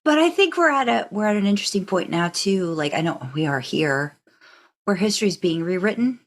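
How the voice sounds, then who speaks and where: A woman's voice: medium pitch, expressive, a little fast. A woman co-hosting a podcast in casual chat, earnest and repeating phrases as she builds a point. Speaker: podcast co-host.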